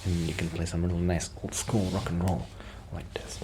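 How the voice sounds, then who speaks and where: A soft-spoken man rambling casually in a shy, hesitant, apologetic way, in the style of an ASMR friend roleplay. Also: low-pitched, little pitch movement, a little fast. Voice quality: soft-spoken, sometimes dropping toward a whisper. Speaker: ASMR roleplay performer playing a musician friend. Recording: clear background noise.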